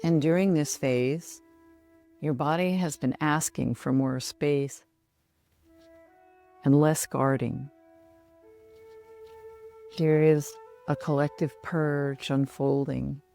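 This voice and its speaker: A middle-aged woman guiding a relaxation meditation in a soothing, measured manner with long pauses. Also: low voice, steady tone, gravelly, warm tone, faint music behind. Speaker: yoga nidra meditation guide.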